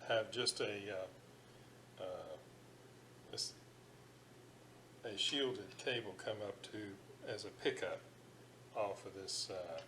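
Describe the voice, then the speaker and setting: A middle-aged man giving a casual workbench demonstration, thinking aloud in an unhurried way with fillers. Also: on the low side, fairly bright tone, a little hiss or hum. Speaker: radio restoration hobbyist. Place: home electronics workbench.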